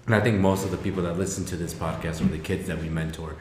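Man, from Mexico, very deep voice, easygoing podcast chat, faint room hum. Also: fast, roomy sound. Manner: relaxed, laughs easily. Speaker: podcast co-host and youth mentor.

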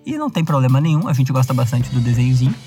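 Young adult male podcast host, southern Brazilian accent, relaxed rambling delivery, faint music bed. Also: medium pitch, racing. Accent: southern Brazilian (gaúcho). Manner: conversational, many fillers and self-restarts. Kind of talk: casual podcast monologue.

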